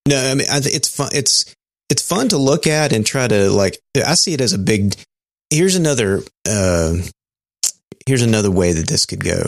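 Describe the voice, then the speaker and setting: A man's voice: medium pitch, animated, normal speed, gravelly, no echo. A man co-hosting a podcast in casual chat, talking through technical details with dry humor. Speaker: podcast co-host.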